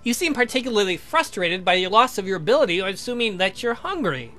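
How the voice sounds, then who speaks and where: A man's voice: high voice, sing-song, quick pace, bright, forceful tone, soft background music, exaggerated voice. A man voicing an eager, polite young scientist in a visual novel, speaking in a precise, inquisitive, formal way. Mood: curious.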